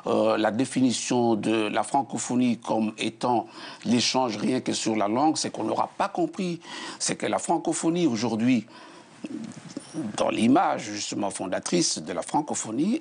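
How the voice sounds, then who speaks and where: Male TV panel guest, husky voice, insistent and explanatory. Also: mid-range voice. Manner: insistent, explanatory, rhetorical questions. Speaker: studio guest. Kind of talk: televised debate.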